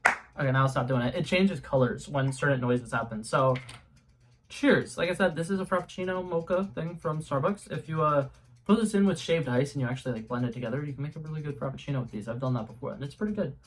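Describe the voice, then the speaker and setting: A young man with a fairly high voice chats his way through a taste test from his room at home. He rambles, restarts his sentences and fills the gaps with ums, in a loose and casual vlog manner. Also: fairly quick, fairly bright tone. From New England. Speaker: YouTube host. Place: home room with a color-changing globe light.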